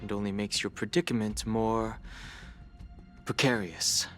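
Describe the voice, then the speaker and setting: An actor playing a guarded, skeptical questioner in a space fantasy video game, speaking formally and with measured words. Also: male voice, fairly low pitch, steady tone, no room sound, soft background music, close to an everyday voice. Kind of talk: video game character acting.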